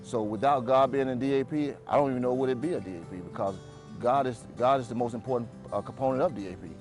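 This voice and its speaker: A man's voice: mid-range voice, fast talker, music in the background. A man speaking about his faith and his work in a heartfelt testimonial, often saying "you know". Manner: heartfelt, repeats himself, lots of "you know". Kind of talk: testimonial interview.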